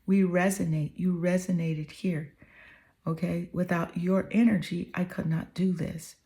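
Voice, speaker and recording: A slightly hoarse woman giving an earnest, affirming tarot reading to the viewer, often checking in with "okay?" and "you know". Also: on the low side, fairly even intonation, unhurried, warm tone. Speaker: tarot reader.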